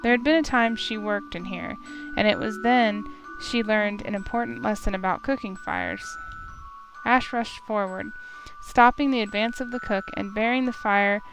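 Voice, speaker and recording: A woman's voice: medium pitch, expressive, moderate pace, low-quality recording. A woman reading a visual novel aloud as story narration for a playthrough. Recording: music clearly behind the voice.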